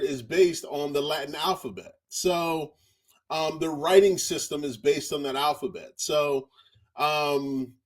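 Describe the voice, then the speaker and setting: A man's voice: fairly high pitch, low-quality recording. A man interviewing a language tutor, upbeat and encouraging, often repeating his praise. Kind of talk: interview questions.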